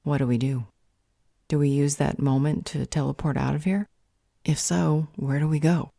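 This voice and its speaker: Very low-voiced, analytical sorceress acted by a woman. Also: steady tone, normal speed, creaky, mellow, no room sound, studio quality. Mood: grave, worried. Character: serious, analytical female magic user. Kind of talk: audio roleplay acting.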